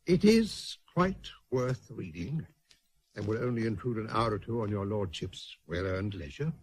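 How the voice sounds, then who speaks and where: An actor in a radio drama playing a stiff, prosaic senior naval lord, speaking formally with dry disapproval. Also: male voice, on the low side, animated, slightly rough, no echo. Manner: formal, measured, dryly disapproving.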